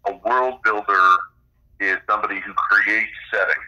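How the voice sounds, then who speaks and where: A man's voice: on the low side, steady tone, normal speed, pushed and bright, rough recording. A middle-aged man explaining his own creative work as a guest in a casual interview. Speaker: interview guest, game designer and author.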